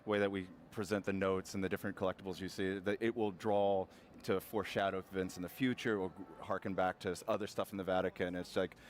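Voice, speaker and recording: A man, a game developer, explaining features in a quick, even way. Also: low-pitched, a little hiss or hum.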